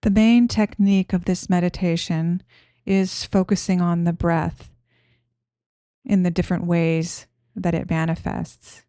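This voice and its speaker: Slow, mellow woman guiding meditation. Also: fairly low pitch, level tone, no echo, clean studio sound. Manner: gentle, soothing, unhurried. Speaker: meditation guide. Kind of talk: guided meditation instruction.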